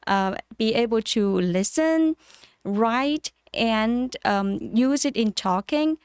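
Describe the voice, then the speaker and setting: Soft-spoken Chinese woman with a smooth voice. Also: on the high side, animated, dry recording, studio quality. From China. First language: Cantonese. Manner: measured, with frequent ums and pauses. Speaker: interview guest, MBA student. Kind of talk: casual interview answers. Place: in person at a summit.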